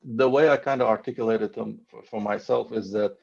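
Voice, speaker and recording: A novelist, a Farsi speaker, talking thoughtfully and haltingly with many fillers on a video call. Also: male voice, fairly low pitch, fairly even intonation, creaky, muffled recording. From Iran. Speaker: novelist guest. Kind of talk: online panel conversation.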